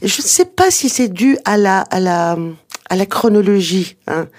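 A native Arabic speaker of medium pitch giving explanations in an interview, hesitant and digressive, with emphatic points. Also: animated, a little slow. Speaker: interviewed author and researcher on early Islamic texts.

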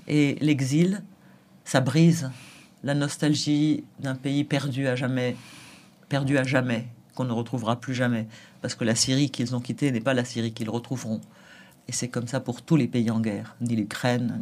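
A man speaking as a guest in an interview, earnest and reflective, often repeating himself as he searches for words. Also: mid-range voice.

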